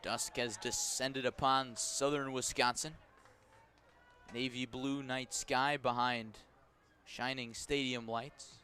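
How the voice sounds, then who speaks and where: Male American baseball play-by-play announcer, mid-pitched voice, descriptive live radio delivery in clipped phrases. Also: bright, forceful tone, clean tone. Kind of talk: live radio sports commentary. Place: outdoor baseball stadium at night.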